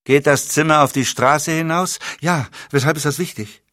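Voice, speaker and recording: A man's voice: a little high, normal speed, a bit bright, no room sound, clean studio sound, pitched above the performer's own voice, lightly acted. A man voicing a nervous, shocked young informer who keeps asking anxious questions.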